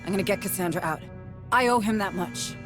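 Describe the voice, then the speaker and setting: An actress playing a resolute, hard-edged space station administrator in a video game cutscene, speaking firmly and tersely. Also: female voice, mid-range voice, a little fast, close to an everyday voice. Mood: quietly resolute. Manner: firm, terse, commanding. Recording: loud music behind.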